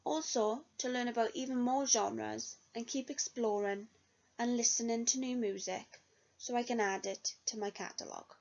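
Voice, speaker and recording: Gravelly young woman reading a self-review. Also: on the high side, steady tone, moderate pace, darkish tone. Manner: earnest, read-aloud delivery. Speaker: music student. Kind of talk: reflective spoken self-review. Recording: dull lo-fi recording.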